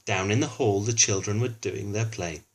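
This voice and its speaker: A man's voice: lowish voice, moderate pace. A man reads a children's storybook aloud expressively, adding informal explanatory asides for a young listener. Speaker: children's book reader.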